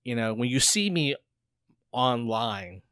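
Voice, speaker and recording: A man's voice: mid-range voice, animated, slow pace, fairly bright tone, smooth, no room sound, studio quality. Talkative man co-hosting a podcast. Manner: talkative, frequent "like" fillers, reflective. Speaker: podcast co-host. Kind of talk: casual conversational podcast.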